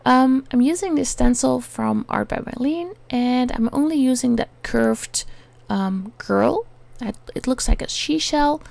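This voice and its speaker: A Dutch woman with a Dutch accent, talking through a crafting tutorial in a hesitant way, often pausing with "um" while she searches for words. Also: sits high, animated, vocal fry, a little mellow, no echo. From Netherlands. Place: home attic.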